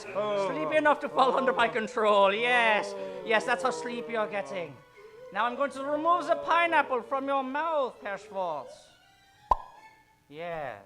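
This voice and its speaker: A man's voice: very high voice, exaggerated voice. An actor playing a bombastic, menacing pulp villain who bullies his henchmen in a comedic old-time radio adventure. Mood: sinister coaxing.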